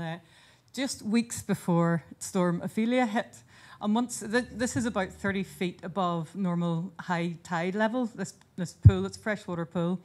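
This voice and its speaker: A woman giving an earnest, informal conference talk in a hotel conference room, often pausing and restarting her sentences. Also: on the low side. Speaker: conference speaker and seed charity founder. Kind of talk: conference presentation.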